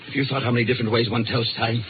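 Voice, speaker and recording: An actor playing a shaken, punctilious American man in a 1950s radio drama, halting and theatrical. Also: medium pitch, flat delivery, lightly acted. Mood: musing, obsessive. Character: shaken doomed male patient, punctilious and obsessed with time. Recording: tinny, noisy old radio recording.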